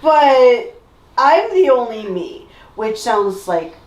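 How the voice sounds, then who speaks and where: A woman's voice: fairly high pitch, sing-song, takes it slowly, darkish tone. A middle-aged woman chatting casually as co-host of a fan discussion show. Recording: echoey room.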